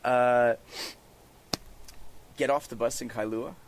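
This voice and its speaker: A man's voice: medium pitch, steady tone, slow pace, noisy background. A man hosting a casual podcast outdoors, telling stories in slangy, profane, exuberant language. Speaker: podcast host. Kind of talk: casual chat. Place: outdoors on a porch.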